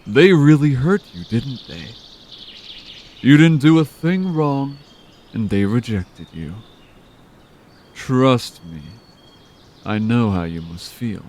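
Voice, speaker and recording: A scheming villain, played by a man, luring his listener with slow, deliberate words. The voice sits at a middling pitch and never hurries, each line a soft push of persuasion in an audio drama. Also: expressive, slight background noise. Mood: coaxing. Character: scheming male villain, smooth manipulative tempter. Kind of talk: amateur audio drama acting.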